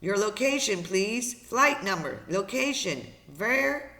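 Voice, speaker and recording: A man voicing a brisk, authoritative male radio operator in an audiobook, with clipped and insistent phrasing. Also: sits high, animated, sharp tone, pitched above the performer's own voice, full character voice. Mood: urgent, clipped. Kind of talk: dramatized audiobook character.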